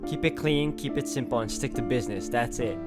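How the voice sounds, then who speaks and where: A man's voice: medium pitch, fairly quick. A man reading a visual novel aloud as a playthrough, dropping in wry casual asides. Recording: music behind the voice.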